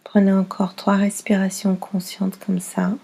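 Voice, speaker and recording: A woman leading a guided yoga nidra relaxation as instructor, soothing and unhurried, speaking in short phrases with pauses. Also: medium pitch, completely flat delivery, creaky, dark tone, breathy edge.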